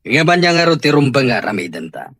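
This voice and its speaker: A man's voice: mid-range voice, sing-song, quick pace, a bit bright, slightly rough, no echo, studio quality. A man acting a stern, troubled middle-aged father in an Ilocano radio drama.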